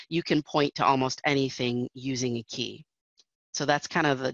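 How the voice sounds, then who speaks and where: A woman's voice: rumbling low, no echo, lo-fi sound. A woman presenting a technical webinar over slides, explaining steadily with frequent fillers.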